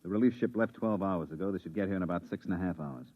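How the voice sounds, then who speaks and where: A weary veteran moon pilot. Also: male voice. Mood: steady. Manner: low-key, measured, reflective. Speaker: lead in a radio play. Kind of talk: 1950s radio drama acting. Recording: muffled vintage radio broadcast.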